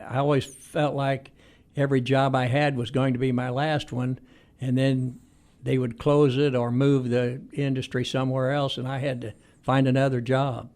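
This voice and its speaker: A man's voice: mid-range voice, gravelly, a little mellow. A man in his eighties from Indiana, reminiscing as an interview guest in a plain-spoken, hesitant way. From Indiana, United States. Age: 80s. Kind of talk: conversational reminiscing interview answers.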